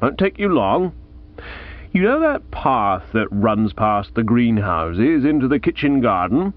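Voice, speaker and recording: A man's voice: medium pitch, sing-song, a little slow, dry recording, phone-quality sound. A man voicing an exasperated middle-aged aunt who scolds her nephew theatrically. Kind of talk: audiobook character voice.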